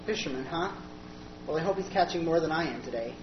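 A woman plays a gruff young man in burnt 1930s clothes, clipped and urgent. He sounds set back from the mic in an echoey room, and the sound is dull. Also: deep voice, lively intonation, fast talker, slight background noise. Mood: brusque. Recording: distant, echoey, dull recording.

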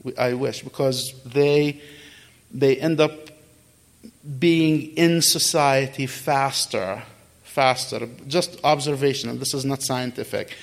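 Gravelly middle-aged man telling his story. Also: medium pitch, takes it slowly. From Kuwait. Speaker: guest speaker answering audience questions. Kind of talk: conversational personal storytelling.

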